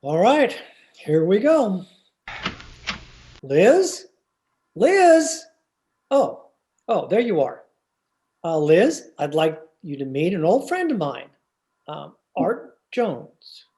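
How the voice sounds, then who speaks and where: Radio sitcom husband played by a man: mild, flustered banker, halting and slow, with lively swings. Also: high voice, heavily acted. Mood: nervous. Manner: hesitant, exasperated. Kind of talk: old-time radio comedy acting.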